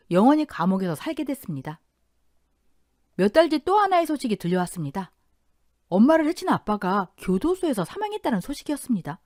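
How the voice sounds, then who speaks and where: A woman in her thirties tells a first-person story with a dramatic, emotional delivery. Her pitch moves around a lot and lands on a middle register. The recording is dry and close, with no room sound. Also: darkish tone, no room sound. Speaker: story narrator.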